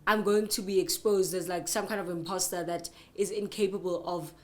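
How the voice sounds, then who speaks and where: A young woman with a deep voice, co-hosting a podcast and talking candidly about her worries. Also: fairly even intonation, fairly quick. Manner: candid, reflective, trails into restarts. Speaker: podcast co-host. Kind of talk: casual chat.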